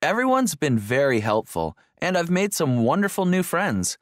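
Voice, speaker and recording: Enthusiastic male tourist, played by a man, animated intonation, learner-audio dialogue, dry sound. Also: on the high side, normal speed, dry recording. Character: enthusiastic male visitor enjoying his trip. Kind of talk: scripted small talk for an English listening exercise.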